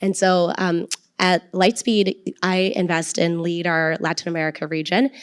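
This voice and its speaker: Low-voiced woman, dry close sound. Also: little pitch movement, clean tone, no room sound. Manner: quick and confident. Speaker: venture capital partner. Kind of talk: panel introduction.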